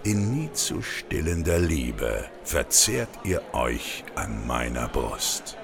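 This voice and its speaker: A man's voice: very deep voice, sing-song, takes it slowly, crowd noise, full character voice. A man acting a giant, mighty false prophet in a German radio drama, soothing and seductive in a grandiose way. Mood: ecstatic, seductive.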